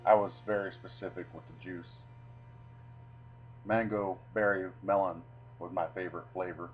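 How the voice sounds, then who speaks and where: A man presenting and reading his own short story in a theatrical, deliberate way. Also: deep voice, steady tone, a little hiss or hum. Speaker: video creator reading own short stories. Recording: dull lo-fi sound with music behind.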